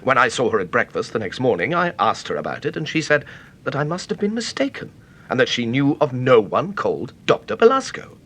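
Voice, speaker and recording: Bright-voiced, hesitant British gentleman. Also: sing-song, a little fast. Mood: uneasy. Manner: polite, hesitant, apologetic. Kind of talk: British radio mystery drama acting. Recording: noisy old radio recording, low rumble.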